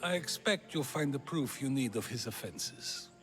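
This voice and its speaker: An actor playing a wise, wry ancient philosopher in a video game, with a measured, questioning, rhetorical delivery. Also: male voice, mid-range voice, animated, fairly bright tone, natural voice. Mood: calm, resolute. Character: Socrates, wise wry ancient Greek philosopher, persuasive orator. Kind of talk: theatrical video game dialogue. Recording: music behind the voice.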